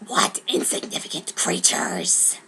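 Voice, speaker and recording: A woman voicing a vengeful living broomstick in a story, breathy, whispery and scheming. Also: takes it slowly, pushed and bright, a little hiss or hum. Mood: scornful. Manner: sinister, scheming. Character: vengeful, scornful living broomstick plotting murder.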